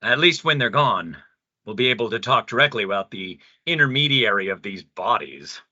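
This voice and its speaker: An actor playing an earnest, philosophical pastor in an audio play, speaking in a thoughtful, measured way. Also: male voice, fairly low pitch, animated, bright, forceful tone, dry recording, lo-fi sound, exaggerated voice. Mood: wistful. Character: earnest male pastor, secretly a weary, loving god. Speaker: pastor in a debate.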